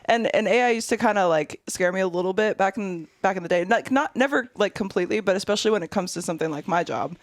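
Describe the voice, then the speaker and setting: A woman's voice: medium pitch, fast talker, hardly any pauses, no room sound, studio quality. A bubbly young woman hosting a podcast, chatting casually with guests and laughing often. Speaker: podcast host. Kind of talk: casual interview chat.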